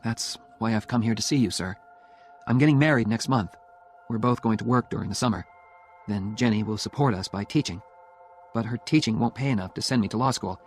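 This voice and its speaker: A man's voice: on the low side, brisk, a little mellow, faint music behind, lightly acted. A man voicing a rebellious young law student in an audiobook, with an earnest, emphatic delivery. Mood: earnest, insistent. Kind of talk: audiobook character dialogue.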